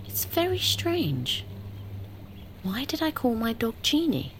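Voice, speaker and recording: Animated young British woman, high-voiced. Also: slow, slight background noise, exaggerated voice. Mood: puzzled, uneasy. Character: eager, uneasy young high-achieving female estate agent. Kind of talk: audio drama acting. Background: music.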